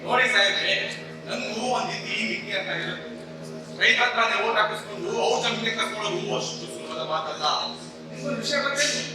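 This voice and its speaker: A man playing a scheming village middleman in an amateur stage play, loud, declamatory and bargaining. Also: sits high, fast talker, lightly acted. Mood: commanding, sly. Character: scheming male village middleman brokering farmland. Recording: echoey hall, distant mic, music behind.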